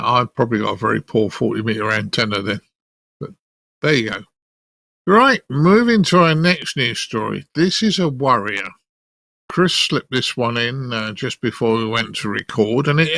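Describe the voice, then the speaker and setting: A man hosts in a conversational presenting style. His voice is sharp and bright with a noticeable gravelly fry, recorded dry. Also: medium pitch, no echo. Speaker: podcast host.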